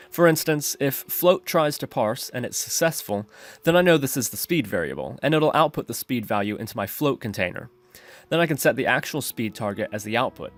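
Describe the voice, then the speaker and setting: A man narrating a step-by-step screencast tutorial, explaining his reasoning as he goes. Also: mid-range voice. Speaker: programming tutorial host.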